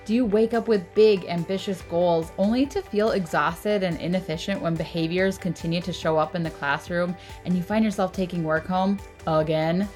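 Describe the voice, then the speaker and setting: An upbeat woman co-host reads a scripted podcast intro with a slightly low voice. Faint music plays behind her. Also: fairly even intonation, moderate pace, hardly any pauses, darkish tone. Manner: upbeat, reading questions to listeners.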